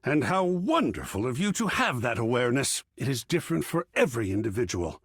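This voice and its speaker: A man's voice: mid-range voice, sing-song, brisk, breathy edge, no echo, clean studio sound. An actor voicing an effusive, grandiloquent art connoisseur in a video game, savoring his words with dramatic flair. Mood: rapt, reverent. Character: effusive, theatrical art connoisseur, a living piece of artwork. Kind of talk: theatrical game character dialogue.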